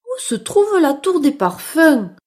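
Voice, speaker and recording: A sweet little female mouse from a storybook, voiced by a woman, polite and wide-eyed. The voice sits very high and swoops in an exclamatory sing-song, always eager. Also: big pitch swings, slow, a little mellow, full character voice. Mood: hopeful, curious.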